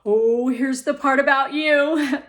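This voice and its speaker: A woman voicing a cheerful, doting, excitable mother in a storybook, gushing and chatty. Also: high-pitched, takes it slowly, pitched above the performer's own voice. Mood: proud, cheerful.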